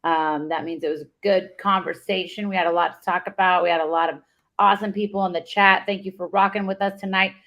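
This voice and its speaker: A woman co-hosting a casual sports podcast, upbeat and giving shout-outs. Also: lowish voice, fairly even intonation, fast talker. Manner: upbeat, gives shout-outs and sign-offs. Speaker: podcast co-host. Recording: dull lo-fi sound.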